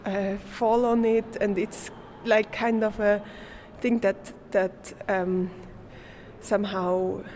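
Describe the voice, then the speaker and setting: Slow, halting young woman from Switzerland. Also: medium pitch, little pitch movement, darkish tone, a little hiss or hum. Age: young adult. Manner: hesitant, searching for words, with small laughs. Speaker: performance artist, art student being interviewed. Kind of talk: casual interview in non-native English.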